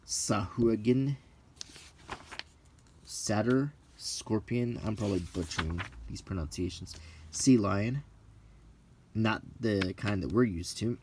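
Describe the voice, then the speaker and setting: Slow, low-voiced man, casually browsing aloud. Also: darkish tone, slight background noise. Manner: halting, list-like delivery with brief musing asides. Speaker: video host. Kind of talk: unhurried casual show-and-tell, reading out names and commenting, unintentional ASMR.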